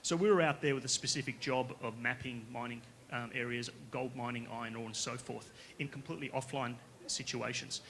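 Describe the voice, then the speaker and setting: A man from Western Australia with an Australian accent, giving a conference pitch as a company founder, casual and conversational, often checking in with the audience. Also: fairly even intonation, brisk, gravelly, few pauses, fairly bright tone. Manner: casual, conversational, with frequent tag questions. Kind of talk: conference presentation. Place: conference stage.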